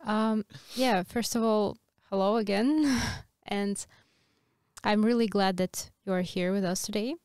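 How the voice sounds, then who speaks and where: A woman's voice: medium pitch, unhurried, a little mellow, clean tone, no echo. A woman in her twenties hosting a podcast and interviewing a guest, speaking close into a studio mic. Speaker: podcast host. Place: small curtained podcast studio. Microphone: close studio mic on boom arm.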